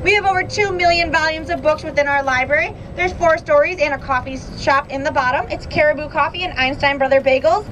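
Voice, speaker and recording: A woman's voice: high voice, a little fast, hardly any pauses, fairly bright tone. A young woman guiding a campus bus tour, upbeat and informative, with frequent "um" fillers. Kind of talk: guided tour commentary. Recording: noisy bus interior. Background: bus engine noise.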